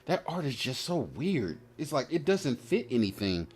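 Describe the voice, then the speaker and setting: A man's voice: mid-range voice, sing-song, moderate pace, a little mellow, husky, soft background music. A man giving casual, rambling commentary as host while opening card packs, slangy and joking with mock-aggrieved asides. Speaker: host of a trading card pack-opening show.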